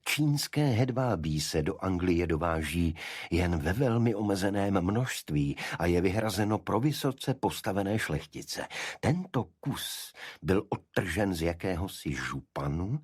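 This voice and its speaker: A man voicing an analytical, confident Victorian detective who makes precise, deliberate deductions in an audiobook. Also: deep voice, animated, a little mellow, breathy edge, dry recording, clean studio sound. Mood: absorbed, analytical.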